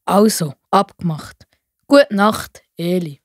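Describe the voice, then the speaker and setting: A woman's voice: on the low side, slow pace, no echo, clean studio sound. A woman playing an eager, obedient young boy in a children's Bible audio play. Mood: obedient, earnest.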